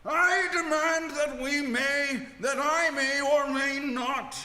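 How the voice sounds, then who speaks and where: A man plays a very high, piercing philosopher full of pedantic bluster. He talks slowly and pompously, tripping into self-corrections. It is a live radio comedy in an echoing hall. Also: fairly even intonation, heavily acted. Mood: indignant, demanding. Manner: hesitant self-corrections between loud pompous demands. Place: indoor performance space with audience. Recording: echoey hall, faint noise, live.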